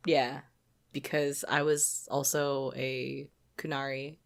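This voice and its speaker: A woman's voice: extremely low pitch, flat delivery, slow pace, gravelly. A woman co-hosting a podcast, chatting casually about games in an informal, giggly way. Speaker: podcast co-host.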